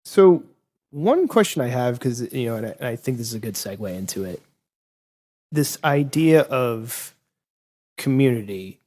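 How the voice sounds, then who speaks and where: A man's voice: medium pitch, lively intonation, moderate pace, long pauses, a little mellow. A man hosting an interview, asking long, rambling questions and correcting himself as he goes. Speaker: podcast host.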